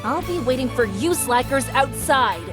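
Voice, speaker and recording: A man's voice: extremely high pitch, expressive, normal speed, pitched above the performer's own voice. A man voicing a rude, impatient young woman in a visual novel, snappy, bossy and dismissive. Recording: music playing behind.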